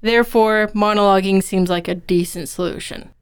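A woman with a strikingly clean, resonant voice at a middling pitch. She co-hosts a podcast as a writing instructor, laying out her opinions in an explanatory, conversational way. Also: fairly even intonation, moderate pace, clear, resonant tone. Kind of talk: conversational craft discussion.

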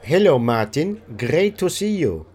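A man's voice: on the high side, sing-song, a little slow, a little mellow, husky, voice pushed down, full character voice. A man playing a blunt, scornful German language student with a put-on German accent in a comedy sketch.

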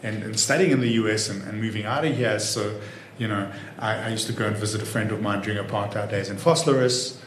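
Relaxed man in his fifties, roomy room. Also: lowish voice, quick pace, a little hiss or hum. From Israel. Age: 50s. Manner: easygoing, many "you know" fillers and restarts. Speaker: interview guest, entrepreneur. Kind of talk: relaxed conversational interview.

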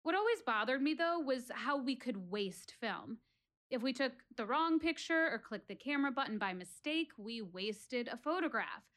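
High-voiced, wistful woman in a stage monologue, acted. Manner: deliberate, expressive, confiding. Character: reflective, wistful woman quizzing her audience about life. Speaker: actress in a one-woman show. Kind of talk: theatrical dramatic monologue addressed to an audience.

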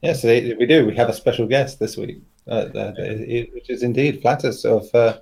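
Male podcast host, gravelly mellow tone, wry intros. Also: medium pitch, fairly even intonation, moderate pace. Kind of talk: casual chat, introducing guests.

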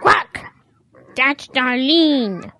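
A naive young cow, acted by a woman in a children's audio drama. The voice is high and takes its time, earnest and stubbornly insistent, over audible background noise. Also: animated, drawn-out words, bright, forceful tone, full character voice. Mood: proud, insistent.

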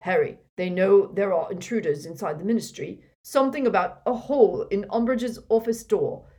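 A woman's voice: mid-range voice, moderate pace, darkish tone, natural voice. Woman voicing young wizard friend, terse, urgent, muttered lines. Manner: muttered, clipped warnings.

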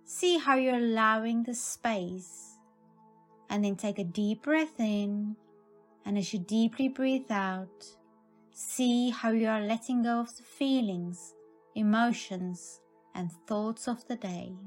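A woman narrating a scripted guided meditation in a calm, soothing, measured manner. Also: on the high side, faint music behind.

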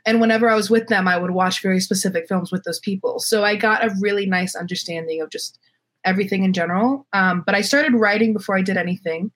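A woman's voice: medium pitch, fast, fairly bright tone. A young adult woman from Florida with an American accent, talking casually and candidly as an interview guest. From Florida, United States. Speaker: guest, filmmaker.

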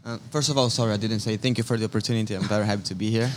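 A man's voice: lowish voice, fairly even intonation. Young Brazilian man, non-native English. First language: Portuguese. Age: 20s. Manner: friendly, non-native phrasing, halting. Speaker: guest soccer player. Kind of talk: casual interview. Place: brewery.

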